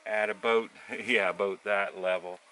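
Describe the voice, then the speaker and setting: A man with a low, bright-toned voice talks someone through his craft outdoors in a forest camp. He is relaxed and chuckles softly. Faint outdoor noise hangs in the background. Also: measured pace, low-quality recording. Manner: relaxed, explaining while working, soft chuckles. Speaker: canoe builder. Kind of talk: casual hands-on instruction.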